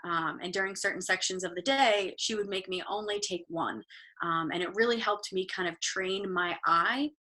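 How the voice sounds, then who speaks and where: A woman in her thirties leads an online photography workshop over a video call. Her voice is bright and forward with a slight hoarseness. She speaks in an easygoing, encouraging way, with plenty of ums. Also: mid-range voice, lively intonation, normal speed, rough recording. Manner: encouraging, chatty, many ums. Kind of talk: live online photography presentation.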